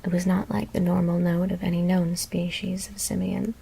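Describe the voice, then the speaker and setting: A woman whispers a horror story in a hushed, deliberate ASMR reading. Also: fairly low pitch, flat delivery, vocal fry, husky. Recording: faint background noise.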